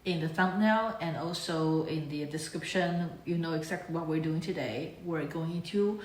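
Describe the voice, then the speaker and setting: A woman's voice: low voice, moderate pace, a little hiss or hum. A woman hosting a reaction video with casual commentary, speaking as an enthusiastic, admiring fan. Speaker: reaction video channel host. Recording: echoey room.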